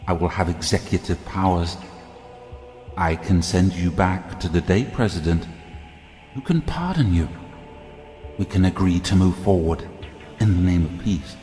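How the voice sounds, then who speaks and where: Man playing a deep, deliberate, scheming royal villain. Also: a little mellow. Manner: deliberate, commanding, theatrical. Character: scheming, embittered royal elder brother, silky and calculating. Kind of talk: audio drama acting. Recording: long reverberant hall, music behind.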